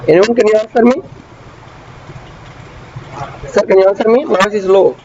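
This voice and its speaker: Male lecturer, high voice, repetitive teacherly drilling, online class; muffled audio, traffic audible. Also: mellow. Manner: repeats key phrases slowly, drilling students; asks students frequent check questions. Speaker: engineering lecturer. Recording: dull muffled audio with traffic noise behind.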